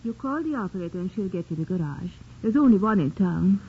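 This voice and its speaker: Polite young widow, husky, thin phone-like vintage radio play. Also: female voice, mid-range voice, expressive, fast. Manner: gentle and polite. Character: educated, composed young mining-town widow.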